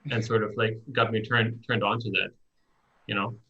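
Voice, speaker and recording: A man's voice: lowish voice, level tone, moderate pace, creaky, pushed and bright, highs cut off. A man from Germany, presenting casually on a live-stream in a friendly, hesitant way. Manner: friendly, hesitant, many likes and restarts. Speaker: software developer presenting.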